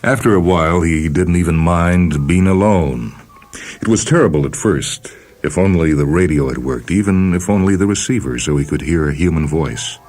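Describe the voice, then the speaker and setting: A man narrates fiction in an extremely deep voice. His delivery is measured and dramatic, the expressive reading of a radio storyteller. Soft music sits behind the voice. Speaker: reader of short stories for a radio program.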